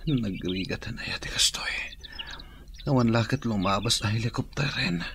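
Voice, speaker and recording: A feverish, thirsty male captain stranded on an island. His voice is low and weakened, with an Ilocano accent. Clear ambient noise sits behind him. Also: moderate pace, fairly bright tone. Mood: anxious, weak. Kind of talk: Ilocano radio drama acting.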